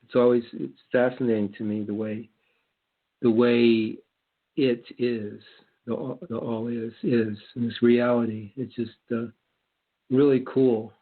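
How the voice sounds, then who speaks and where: A man giving casual spiritual reflections in a group talk, halting and repeating himself as he meanders through his thoughts. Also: mid-range voice, flat delivery. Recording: thin, tinny phone-line sound.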